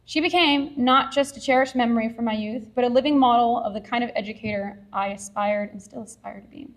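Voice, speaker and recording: Brief: teacher from Texas, woman, high bright-toned voice, sincere prepared speech at a podium. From Edinburg, Texas. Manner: earnest, heartfelt, measured. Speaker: science teacher accepting an award. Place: award ceremony venue.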